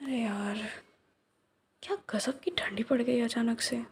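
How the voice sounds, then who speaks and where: A woman in a soft-spoken roleplay, playing a young flower shop owner who teases gently and drops into breathy whispers. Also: a little high, unhurried, slight background noise. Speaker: voice actress in a listener roleplay. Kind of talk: ASMR roleplay, one side of a conversation.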